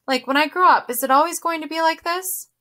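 A woman reader voicing a gentle, earnest young boy asking his mother questions. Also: extremely high pitch, a little fast, pitched above the performer's own voice. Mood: curious.

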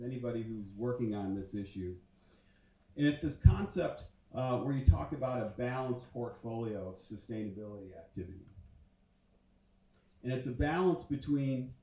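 A man in his forties giving a conference talk on stage with a handheld mic, conversational and full of fillers and restarts. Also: mid-range voice. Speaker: conference speaker. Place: large dark auditorium stage. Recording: roomy hall sound from a distance, muffled.

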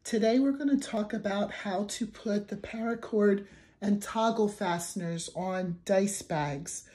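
A young adult woman with a raspy voice from the flu, casually talking through a crochet tutorial at a table, chatty with fillers and restarts. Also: medium pitch, a little slow, warm tone. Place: indoors at a wooden table. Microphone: camera over a tabletop, hands only.

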